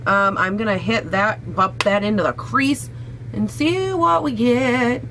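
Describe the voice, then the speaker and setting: A young woman with a raspy voice, hoarse from a cold, chatting casually and sassily through a makeup try-on at home. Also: mid-range voice, a little slow, background noise. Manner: chatty, sassy, swears casually. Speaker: beauty vlogger.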